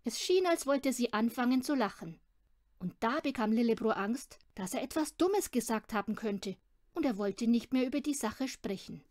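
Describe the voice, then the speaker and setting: A woman's voice: fairly high pitch, expressive, normal speed, darkish tone, dry recording, clean studio sound. A woman narrating a children's audiobook from a script. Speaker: audiobook reader.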